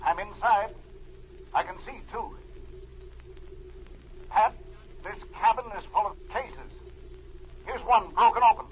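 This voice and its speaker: An actor playing a brave, decisive special agent and diver in an old radio adventure, reporting in clipped statements. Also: male voice, high voice, slow pace, pauses often. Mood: intent discovery. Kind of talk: 1940s radio adventure acting. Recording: muffled, noisy old radio recording.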